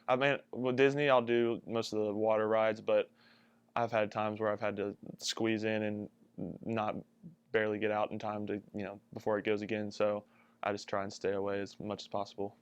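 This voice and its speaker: A man's voice: on the low side, little pitch movement, moderate pace, clear, resonant tone, no room sound, dull audio. A young man, a college athlete, answering interview questions casually. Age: young adult. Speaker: college basketball player.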